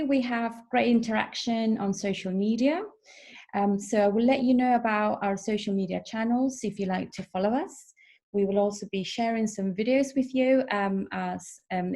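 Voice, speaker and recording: A woman from Peru, an international officer, giving a measured online information session over a video call with frequent fillers. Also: mid-range voice, darkish tone. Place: home or office in the evening.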